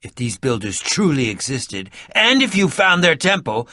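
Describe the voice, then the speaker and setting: An eccentric game adventurer, high and bright in voice. He swoops wildly in pitch, fussing over words with theatrical relish. The delivery is excitable and dramatic. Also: normal speed, no room sound, clean studio sound. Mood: dry, thoughtful. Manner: theatrical, fussy, dramatic emphasis with sudden swoops. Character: eccentric, excitable male adventurer and potion maker. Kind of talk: animated video game character acting.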